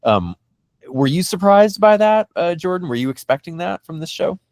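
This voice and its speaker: A man hosting a fan discussion podcast, talking in an animated, emphatic way. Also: sits high, normal speed, dry recording, clean studio sound. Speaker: podcast host.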